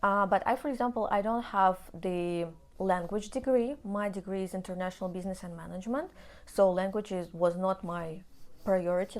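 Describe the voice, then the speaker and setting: A woman's voice: fairly low pitch, a little mellow. Chatty Russian-accented young woman. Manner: chatty, explanatory, with frequent restarts. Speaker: vlogger. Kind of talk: casual personal talk to camera.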